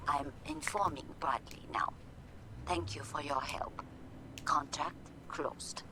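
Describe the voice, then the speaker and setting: An actress playing a businesslike fixer closing a job, curt and to the point. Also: female voice, sits low, takes it slowly. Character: businesslike female fixer on a call. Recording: video game audio with background noise.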